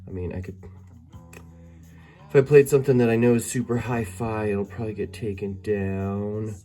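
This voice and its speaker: Man, low relaxed voice, hesitant casual reviewer thinking aloud, faint music behind. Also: normal speed. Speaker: amateur product reviewer. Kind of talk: casual hands-on gadget review. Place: indoors by a window. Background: music tracks played as demonstration.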